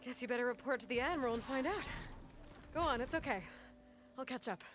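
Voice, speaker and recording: An actress playing a young, modest and frank heroine in a video game cutscene, with an American accent and a reassuring, natural delivery. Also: female voice, high voice, fairly quick. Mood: confident, reassuring. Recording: loud music behind the voice.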